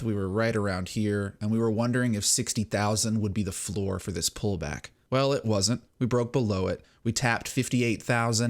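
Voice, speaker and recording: Hoarse low-voiced man explaining charts. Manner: steady explanatory delivery walking through charts. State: hoarse, slightly scratchy. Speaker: crypto analysis channel host. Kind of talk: screen-share market analysis talk.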